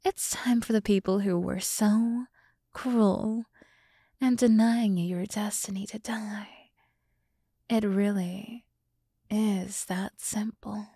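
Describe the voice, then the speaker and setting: Woman playing a breathy, slow-talking sultry demoness. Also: medium pitch, steady tone, dry recording, studio quality. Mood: scornful, menacing. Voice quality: soft, breathy, half-whispered. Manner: slow, deliberate, condescendingly soothing. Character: sultry, teasing demoness summoned to serve a human. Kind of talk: ASMR roleplay. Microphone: close microphone.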